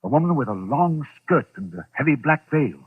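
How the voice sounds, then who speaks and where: An actor playing a wealthy, imperious, agitated publisher in a 1940s radio drama, brusque and self-important. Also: male voice, mid-range voice, very animated, normal speed, breathy edge. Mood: agitated, anxious. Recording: tinny, narrow-band old radio recording.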